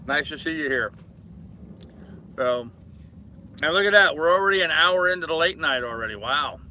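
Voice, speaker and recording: An older man with a very bright, sharp voice that sits a little high hosts a casual live stream. He rambles from one viewer comment to the next, talking to people like old pals. Faint ambient engine noise sits behind him aboard his boat. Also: low-quality recording. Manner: rambling, addresses viewers familiarly as brother and man.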